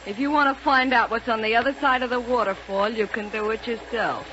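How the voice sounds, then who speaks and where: An American actress playing a pert, skeptical, practical villain's accomplice in a 1930s radio serial. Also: female voice, a little high, steady tone, fairly quick, pushed and bright. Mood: sassy, unwilling. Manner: pert, questioning, unimpressed. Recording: muffled, noisy 1930s radio recording.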